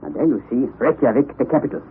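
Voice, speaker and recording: Guy doing a bossy excitable old professor, old radio play sound, tinny, voice jumps all over. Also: medium pitch, fast, natural voice. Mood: lecturing. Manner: theatrical, commanding, bursts of excitement. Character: excitable elderly male professor, eccentric and domineering. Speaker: actor in a radio drama. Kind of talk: 1960s radio drama acting. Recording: lo-fi vintage radio broadcast with hiss.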